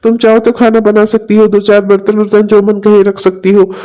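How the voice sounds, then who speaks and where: A man's voice: high-pitched, completely flat delivery, moderate pace, mellow, natural voice. A man voicing a caring young male friend who talks in a concerned, coaxing and chatty way in an amateur audio drama. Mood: showing round.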